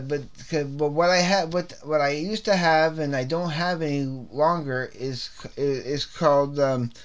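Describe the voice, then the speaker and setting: A man hosting his own CD review series, chatting casually and rambling, repeating words and restarting sentences, with a slightly scratchy throat. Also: a little high. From Canada. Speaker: host of a CD review video series. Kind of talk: casual unscripted music album review.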